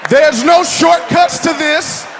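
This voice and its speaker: A young man giving a stage motivational speech, shouting and drawing out emphatic lines to the crowd. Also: very high-pitched, slow, background noise. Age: 20s. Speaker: motivational speaker. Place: large event hall with audience. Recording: long hall reverb, faint crowd.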